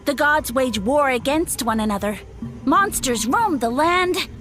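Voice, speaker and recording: A woman's voice: high voice, lively intonation, a little slow, a bit bright. A woman voicing a boastful little mushroom creature in a video game, speaking in a grandiose, haughty, theatrical way. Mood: haughty, grand. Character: pompous, boastful little mushroom creature posing as a mighty lord. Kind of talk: scripted video game voice acting. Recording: music behind the voice.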